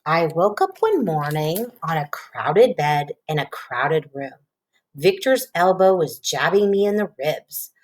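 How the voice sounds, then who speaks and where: A woman reading a children's storybook aloud in an expressive narrating style. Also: deep voice. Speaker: storybook reader.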